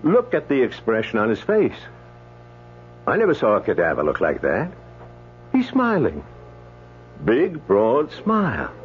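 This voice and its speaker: Chatty, swooping radio-drama doctor. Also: male voice, mid-range voice, huge pitch swings, a little slow, long pauses. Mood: puzzled. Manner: folksy, exclamatory, storytelling. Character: blunt, chatty male doctor telling a tall tale. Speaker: actor playing a doctor. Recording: dull old radio recording, faint hum.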